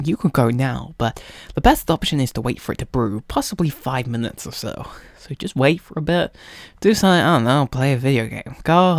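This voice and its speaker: A young British man giving a playful ASMR roleplay tutorial straight to the listener, mock-instructive and slipping at times into breathy whispers. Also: mid-range voice, dry recording. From United Kingdom. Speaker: ASMR roleplay creator and host.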